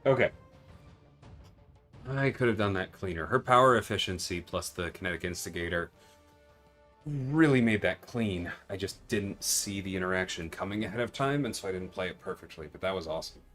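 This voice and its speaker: A man's voice: sits low, expressive, fairly quick, fairly bright tone, faint music behind. A man hosting a casual livestream, explaining game mechanics and answering viewers while he plays. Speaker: livestream host and game designer. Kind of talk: casual livestream chat and gameplay commentary.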